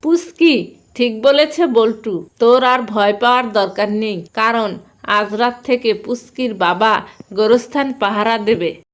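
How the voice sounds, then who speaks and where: Woman voicing a high, sensible young cartoon heroine. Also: a little slow, natural voice. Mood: reassuring. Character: brave young female animal, sensible ghost skeptic. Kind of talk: cartoon dialogue.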